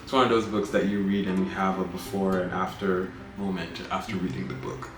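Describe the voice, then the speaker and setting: A man's voice: low voice, level tone, brisk. A man in his twenties from Nigeria, talking thoughtfully in a personal video monologue and often checking in with "right?". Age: 20s. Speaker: vlogger. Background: guitar music.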